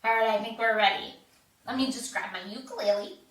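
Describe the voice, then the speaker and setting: A woman's voice: on the high side, a little fast, fairly bright tone. A woman leading an interactive story and movement lesson for young children, giving simple, encouraging instructions in a sing-song way with repeats. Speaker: children's yoga and story time host. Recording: roomy echo, distant mic.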